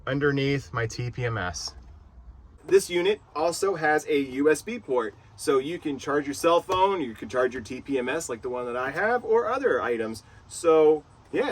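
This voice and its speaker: A man's voice: mid-range voice, a bit bright. A man hosting a casual product review vlog in an enthusiastic way. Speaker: video host reviewing gear.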